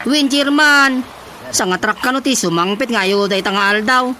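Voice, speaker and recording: Eager village woman, highish sharp voice, Ilocano accent, emphatic comic radio drama. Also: moderate pace, slight background noise. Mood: explaining. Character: eager, pushy village woman reporting to the chairman.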